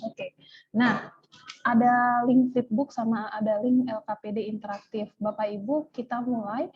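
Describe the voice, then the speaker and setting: A woman's voice: on the high side, steady tone, measured pace, slightly rough. A woman with an Indonesian accent teaching an informal online tutorial over a video call, often checking in with her listeners. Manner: repeatedly checks with listeners using "ya". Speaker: online workshop trainer. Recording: dull lo-fi video-call audio.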